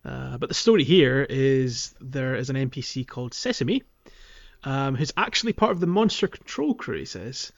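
A man's voice: medium pitch, animated, moderate pace, creaky, highs cut off. A man co-hosting a podcast, casually talking through a video game in a loose, hedging, informal way. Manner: hedging, informal explaining with kind of and like. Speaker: podcast co-host.

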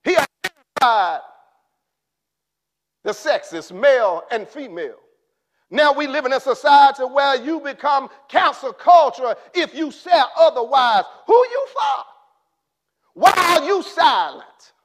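A man preaching a sermon in an impassioned way, rising to shouts and then dropping low. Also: very animated, takes it slowly.

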